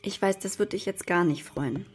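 An actress playing a gentle, regretful mother with a careful, hesitant manner in a German children's radio drama. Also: medium pitch, moderate pace, breathy edge, faint music behind, close to an everyday voice.

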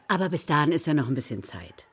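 A woman's voice: sits low, big pitch swings, quick pace, slightly rough, exaggerated voice. A woman playing a well-meaning, overeager primary school teacher in a children's radio play, speaking politely and a little hesitantly. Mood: politely eager. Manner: polite, hesitant, rambling, eager to please. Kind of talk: naturalistic German radio drama acting.

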